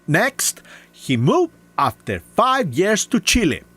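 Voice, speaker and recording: A man's voice: sits high, wildly swooping pitch, slow pace, a bit bright, slightly rough. A man with a heavy foreign accent reading a scripted rebuttal, listing claims one by one with scorn. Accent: non-native English. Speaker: commentary video maker.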